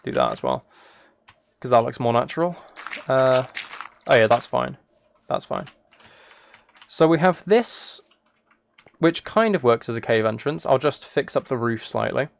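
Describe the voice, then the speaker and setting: A man's voice: mid-range voice, measured pace, gravelly, long pauses. Rambling man chatting on a casual livestream. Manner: rambling, thinking aloud; soft-spoken, relaxed. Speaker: live streamer. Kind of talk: casual livestream chat while gaming.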